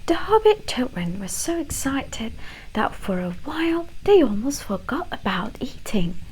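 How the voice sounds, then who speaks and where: A woman with a mixed British and Canadian accent whispering a story in a gentle, hushed ASMR storytelling style. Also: medium pitch, breathy edge, low-quality recording. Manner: gentle, hushed, unhurried delivery.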